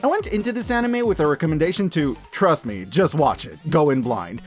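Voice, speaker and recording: Male reviewer, very lively intonation, punchy casual enthusiasm, scripted commentary over background music. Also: fairly high pitch, brisk. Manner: enthusiastic, emphatic, casual and profane. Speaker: host of a media review channel.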